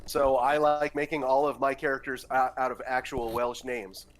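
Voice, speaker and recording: A man's voice: mid-range voice, little pitch movement, normal speed, low-quality recording. A young man joking wryly with friends while playing a game. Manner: wry, joking. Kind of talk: casual gaming chat.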